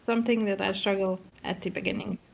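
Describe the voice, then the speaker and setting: A young woman, a teacher in training, speaking in halting English and searching for words as a guest in a casual conversation. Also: medium pitch, fairly even intonation, creaky, slight background noise, rough recording. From Slovakia. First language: Slovak.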